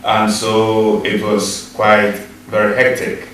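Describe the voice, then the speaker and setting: Ghanaian guy, middle aged teacher, lowish voice, steady storyteller, far from mic w lots of echo. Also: a little hiss or hum. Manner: measured storytelling with fillers. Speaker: guest, teacher. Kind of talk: interview. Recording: echoey room, voice from off mic.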